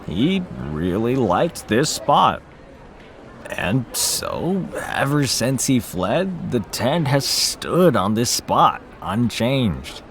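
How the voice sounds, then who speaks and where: A man's voice: medium pitch, sing-song, very slow, a bit bright, slight background noise, full character voice. A man voicing a boozy, irreverent gossip in a video game, with a sardonic, theatrical drawl. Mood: wry storytelling. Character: boozy, irreverent man who gossips about local history. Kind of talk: video game character dialogue.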